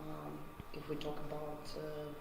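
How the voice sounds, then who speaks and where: Woman, Ukrainian accent, language teacher, halting speech, roomy echo. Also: low voice, monotone, creaky. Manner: hesitant, many fillers and pauses. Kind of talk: interview answers. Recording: echoey room, faint background noise.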